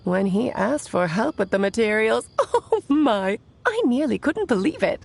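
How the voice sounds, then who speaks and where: A woman's voice: fairly high pitch, very animated, unhurried, creaky, a little mellow, exaggerated voice. A woman voicing a playful, theatrical witch in a video game, teasing and amused.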